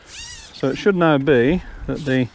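A man's voice: a little high, huge pitch swings, takes it slowly. Chatty older man explaining on a riverbank. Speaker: angler and channel host. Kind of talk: casual fishing demonstration. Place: outdoors on a riverbank.

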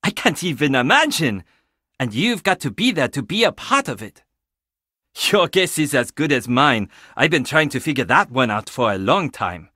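An actor playing a geeky, awkward, friendly young tech tinkerer in a video game, rambling and self-deprecating. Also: male voice, on the high side, a bit bright, dry recording, studio quality, full character voice. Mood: earnest, then subdued, guarded. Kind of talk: video game character dialogue.

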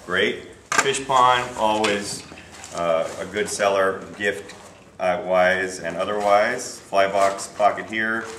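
A man's voice: sits low, slow pace. An American man presenting products informally in a shop, unscripted, plugging them in short clipped phrases. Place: small retail shop, indoors. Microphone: camera a few feet away. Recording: echoey shop, distant camera mic, faint noise.

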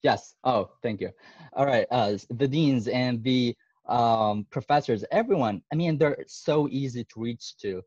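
A man's voice: medium pitch, a little slow, a little mellow, breathy edge, dry recording. A young man from Syria, a medical student in his twenties, presenting on a video call and speaking hesitantly with many fillers. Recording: dull, lo-fi video call audio.

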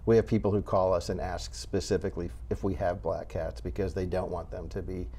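An older man speaking as an interview guest from a cat rescue, choosing his words in a measured, halting way. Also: low-pitched, little pitch movement, warm tone. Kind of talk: unscripted interview talk. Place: indoor TV studio set. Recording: clear background noise.

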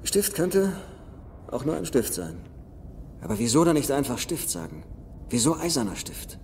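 Brainy treasure hunter in a reverberant hall. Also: male voice, mid-range voice, slight background noise. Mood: excited deduction. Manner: thinking aloud, halting then excited. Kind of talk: film dub acting. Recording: long hall reverb under film music.

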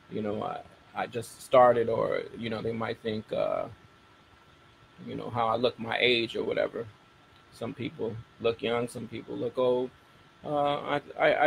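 A man's voice: medium pitch, level tone, normal speed, creaky, a little hiss or hum, low-quality recording. A middle-aged American man hosting a show, talking casually to his audience and repeating key phrases for emphasis. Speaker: radio show host. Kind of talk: casual talk to a broadcast audience.

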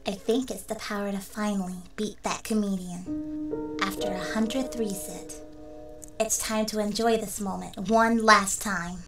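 A woman's voice: mid-range voice, unhurried, lightly acted. A woman voicing a gloating, menacing young killer in a fan comic dub. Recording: echoey, distant, under loud music.

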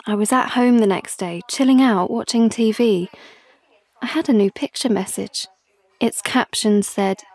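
An actress playing a blunt teenage sister, chatty and wry, in an audio drama monologue. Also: female voice, medium pitch, a little mellow. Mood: uneasy.

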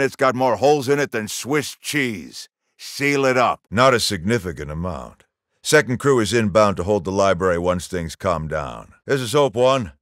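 A stern, middle-aged general for a video game, issuing orders with clipped authority. His pitch moves widely as he briefs his troops, and the recording is close and dry. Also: a bit bright, no echo. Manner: authoritative, clipped military delivery. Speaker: military commander character in a video game.

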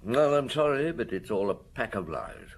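A man's voice: mid-range voice, very animated, moderate pace, breathy edge. An actor playing a shrewd, weary middle-aged male police detective in a radio drama, questioning people in a probing, matter-of-fact way. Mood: firm, measured.

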